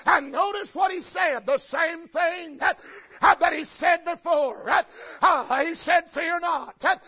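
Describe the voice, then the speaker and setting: Shouting preacher with a rhythmic uh. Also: male voice, extremely high pitch, measured pace. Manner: shouted cadence, an uh after each phrase. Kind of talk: chanted revival sermon. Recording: lo-fi recording with faint congregation. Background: congregation responding.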